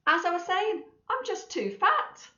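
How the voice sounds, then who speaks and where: A woman's voice: very high voice, big pitch swings, unhurried, sharp tone, a little hiss or hum, voice pushed up, heavily acted. A woman voicing a stern, emphatic mother elephant from a children's storybook, exasperated but affectionate. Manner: emphatic, declarative, bossy. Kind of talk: children's storybook read-aloud. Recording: echoey room, slightly dull sound.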